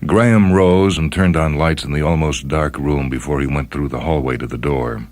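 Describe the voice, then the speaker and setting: A man hosting and reading a story as scripted dramatic narration, in a deliberate, measured manner. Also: very deep voice, darkish tone, no room sound. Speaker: host and story reader. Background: music under the voice.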